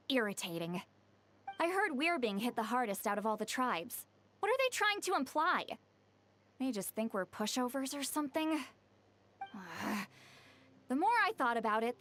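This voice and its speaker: A prickly young writer in a game, her fairly high voice swinging lively up and down. She sounds sardonic and easily annoyed, with a faint hiss of noise behind her. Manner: exasperated, sardonic. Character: irritable young woman writer, sharp-tongued. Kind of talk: video game voice acting.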